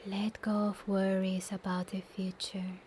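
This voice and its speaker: A woman guides a meditation very slowly in a soft, breathy near-whisper. Her pitch stays slightly low and very even, with long soothing pauses. Faint ambience sits behind her voice. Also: slight background noise. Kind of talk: guided meditation, slow scripted instruction. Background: soft nature ambience.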